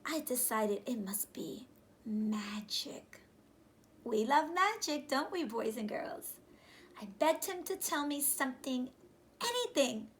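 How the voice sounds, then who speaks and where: A high-voiced woman reads a picture book to young children as their teacher would. Her delivery is slow and very animated, and she pauses to put questions to her listeners. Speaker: teacher reading aloud to pupils. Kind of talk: children's storybook read-aloud. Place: small furnished room indoors, seated on sofa.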